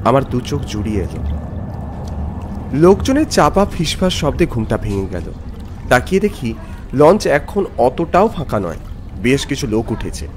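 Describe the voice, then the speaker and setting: A man acting an animated, suspenseful ghost-tale narrator. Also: medium pitch, moderate pace, long pauses, lightly acted. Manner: expressive, suspenseful storytelling. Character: young office man, polite, jittery narrator of ghost tale. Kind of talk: dramatic scripted first-person horror narration with dialogue. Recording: music bed under voice.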